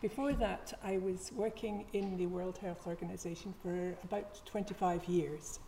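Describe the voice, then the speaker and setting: A woman in her sixties, a retired health official, explaining her work on a panel in a measured, plain way. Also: on the low side, steady tone, vocal fry, dark tone, slight background noise.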